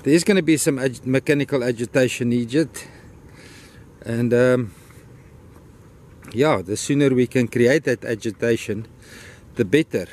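A man's voice: mid-range voice, a little slow, long pauses, darkish tone. A South African man with an Afrikaans accent, casually narrating a vlog while walking his dog on a beach trail, rambling and grateful. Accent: Afrikaans-accented English. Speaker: vlogger. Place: outdoors on a beach and a wooden boardwalk trail.

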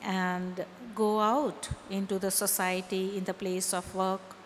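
A middle-aged woman pastor from India preaching a sermon at a pulpit, slow and deliberate, with pauses and rising emphasis. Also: medium pitch, flat delivery, slight background noise. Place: large church hall, at a pulpit. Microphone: stand mic at the lectern. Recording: church hall reverb with faint ambience.

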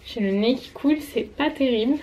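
A woman's voice: on the high side, normal speed, warm tone, a little hiss or hum, poor audio. A young woman in her twenties vlogging at home with a camera held at arm's length, chatty and casual, with a slight cold. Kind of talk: casual vlog chat. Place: home bedroom indoors. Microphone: handheld camera at arm's length.

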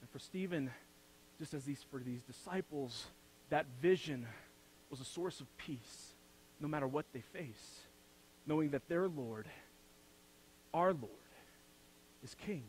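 A young man preaching a sermon from a church pulpit. He builds to loud, emphatic peaks, then drops to soft, low phrases. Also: unhurried, pauses often, a little hiss or hum, rough recording. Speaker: pastor. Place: church sanctuary, speaking from the pulpit.

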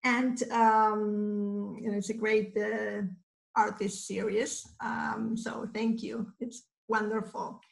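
A woman in her fifties with an Argentine accent and native Spanish, talking informally as a guest in a measured, halting way, searching for words. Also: medium pitch, level tone, gravelly. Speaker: guest, mosaic artist. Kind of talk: informal interview talk. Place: small indoor studio room. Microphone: computer mic on video call. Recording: roomy video-call sound.

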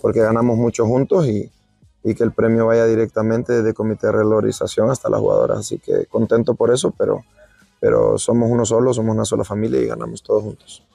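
A man in his forties speaks as a football coach, his voice low, dark and gravelly with little rise and fall. He answers humbly, pausing and repeating words. The sound is dry, with faint music underneath. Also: level tone, no room sound. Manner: modest, halting, repeats words. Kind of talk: post-training interview. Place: outdoor football pitch at night.

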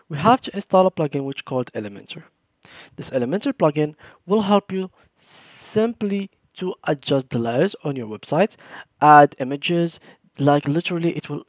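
A man's voice: a little high, no room sound. Man, tutorial host, step-by-step instructions, slightly non-native phrasing, dry close sound. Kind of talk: screen-recorded software tutorial.

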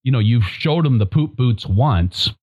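A man's voice: mid-range voice, measured pace, muffled recording. A man hosting a farming podcast, thoughtful and emphatic in conversation. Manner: thoughtful, emphatic, lively inflection. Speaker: podcast host. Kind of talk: conversational interview.

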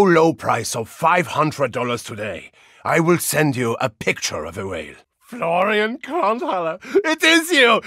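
Gravelly German football hooligan hero. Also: male voice, on the high side, dry recording, studio quality, full character voice. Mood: mock solemn, then excited. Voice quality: gravelly, raspy. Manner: bombastic, theatrical, swinging from growl to shout. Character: brash, hot-tempered German football hooligan action hero. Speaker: video game voice actor. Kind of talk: video game character voice lines.

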